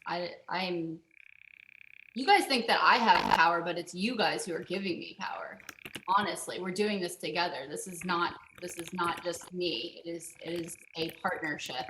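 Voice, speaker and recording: A woman's voice: fairly low pitch, echoey room, noisy background. A woman hosting a group video call, effusive and flustered with thanks. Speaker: group host.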